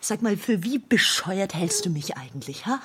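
A woman's voice: medium pitch, animated, normal speed, lightly acted. Woman acting furious desperate lady in a radio play, voice all over the place, menacing, bit of noise behind. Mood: bitter, accusing. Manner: menacing, sarcastic, commanding, unhinged. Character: enraged, desperate woman threatening others with a knife.

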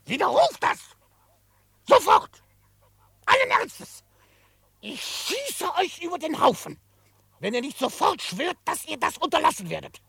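A man playing a quirky old frontier trapper in a radio drama, excitable and grumbling, gruff but fond, with a crackly voice. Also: very high voice, a little slow, long pauses, no echo, full character voice. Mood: outraged. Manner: excitable, grumbling, chuckling, theatrically indignant. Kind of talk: German radio drama acting.

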